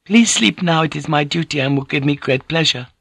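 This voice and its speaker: Man voicing a high, polite woman. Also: fairly quick, vocal fry, a bit bright, slightly rough, no room sound, clean studio sound, exaggerated voice. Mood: tender, deferential. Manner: polite, apologetic, careful foreign-sounding English. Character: gentle, deferential Japanese woman, earnest and coaxing. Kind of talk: audiobook character voice.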